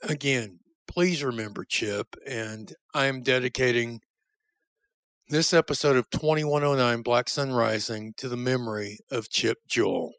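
A man giving a solemn, sincere spoken tribute as a producer, in a halting way. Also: medium pitch, a bit bright, no room sound. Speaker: audio drama writer and producer.

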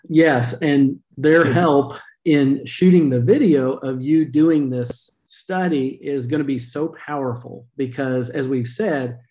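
A man's voice: medium pitch, slow pace, few pauses, dark tone, low-quality recording. A middle-aged man hosting a video-call interview, talking earnestly with a few fillers. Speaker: podcast host. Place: home room, indoors. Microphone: video call webcam.

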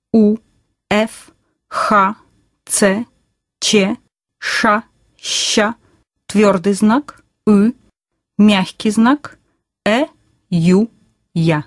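Female course reader, mid pitch, slow and carefully enunciated, dry studio sound. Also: no room sound. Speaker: language course voice. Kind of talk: scripted language lesson, slow clear reading.

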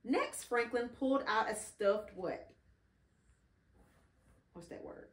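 Slow, animated woman reading a storybook. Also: on the high side. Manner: expressive, encouraging, pauses to prompt the child. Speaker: mother reading aloud to her child. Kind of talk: children's read-along storytelling. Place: indoor living room beside a Christmas tree. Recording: roomy, echoing home recording.

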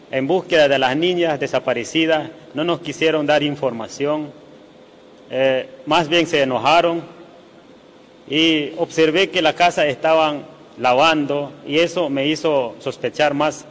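A young man from Nicaragua's Caribbean coast giving a halting, sorrowful statement to reporters at a police press conference. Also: on the high side. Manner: slow, halting, grieving. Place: large indoor briefing room. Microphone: cluster of reporters' mics and phones. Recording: reverberant hall with faint noise.

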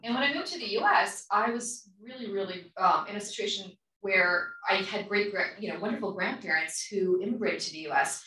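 A woman's voice: mid-range voice, brisk, hardly any pauses, sharp tone. A woman from Croatia speaking as a panelist, candid and blunt, telling a personal story. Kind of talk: panel discussion. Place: conference room. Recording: echoey room from far off.

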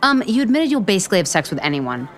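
A woman's voice: medium pitch, sing-song, rapid-fire, close to an everyday voice. An actress playing a blunt, exasperated single woman in a romantic comedy audio drama, sarcastic, emphatic and profane. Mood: irritated, dismissive. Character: blunt, exasperated, foul-mouthed single woman, sharp-tongued cynic.

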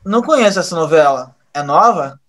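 An actor playing a resentful young male hacker in an audio drama, halting at times. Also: a little high, lively intonation, fairly bright tone. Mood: subdued, affectionate. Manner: halting, repeats words when pressed.

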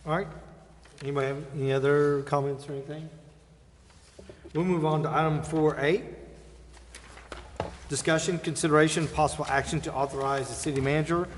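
A man's voice: fairly high pitch, fairly even intonation, fairly quick, long pauses. An American man acting as mayor, informally running meeting procedure and reading out agenda items. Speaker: mayor chairing a council meeting. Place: indoor council chamber. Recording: reverberant meeting room, faint noise.

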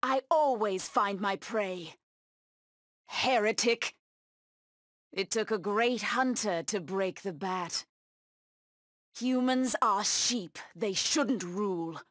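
A woman's voice: mid-range voice, takes it slowly, pauses often, a bit bright, dry recording, studio quality. An actress voicing a proud, bloodthirsty cat-woman huntress villain in a video game, with menacing, taunting delivery.

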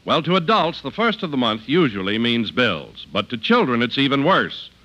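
A man's voice: mid-range voice, sing-song, normal speed, bright, forceful tone, muffled recording. A man announcing in polished, emphatic 1950s radio style, reading scripted copy.

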